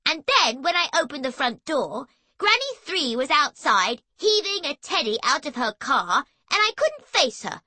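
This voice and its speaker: A woman's voice: high-pitched, big pitch swings, measured pace, few pauses, bright, forceful tone, no room sound, pitched above the performer's own voice, full character voice. A woman voicing a haughty, unfriendly young girl in a children's storybook, a big sister with a curt, indignant manner.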